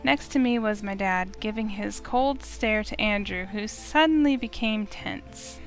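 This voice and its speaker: A woman's voice: medium pitch, unhurried, rough recording. A woman reading a visual novel aloud as dramatic first-person narration, with music behind her. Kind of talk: dramatic narration of a game's text.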